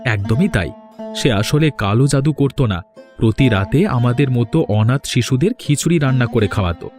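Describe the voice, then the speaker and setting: A man's voice: medium pitch, expressive, fairly quick, darkish tone, close to an everyday voice. A man playing an earnest, grateful young man in a moral-tale cartoon, speaking with dramatic emphasis. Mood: reproachful, insistent. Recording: music behind the voice.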